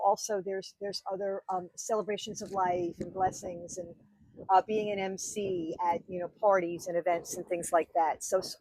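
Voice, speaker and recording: A woman's voice: mid-range voice, low-quality recording. A woman in her sixties with a New York accent, telling her story as a wedding officiant on a podcast, chatty and full of fillers. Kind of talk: podcast interview.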